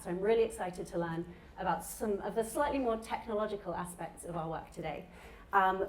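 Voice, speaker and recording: A woman moderating a live conference panel, introducing the session and putting questions to the speakers. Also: mid-range voice. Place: indoor conference session room. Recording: echoey room, distant mic, background noise.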